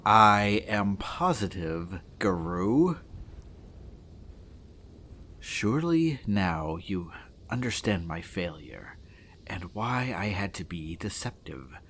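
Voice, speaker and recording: Guy doing earnest goblin warrior, deep n slow, very formal, audio drama, bit of noise behind. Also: animated, a bit bright, full character voice. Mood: earnest, resigned. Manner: formal, deliberate, heartfelt declarations. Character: lovelorn male goblin warrior, earnest and solemn. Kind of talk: fantasy audio drama acting.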